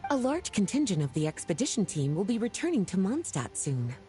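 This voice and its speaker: Actress as lilting, teasing game heroine. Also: female voice, medium pitch, sing-song, fairly quick, mellow, natural voice. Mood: composed, caring. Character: playful, teasing, well-read woman, leisurely and flirtatious. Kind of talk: scripted video game character dialogue. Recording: game music behind the voice.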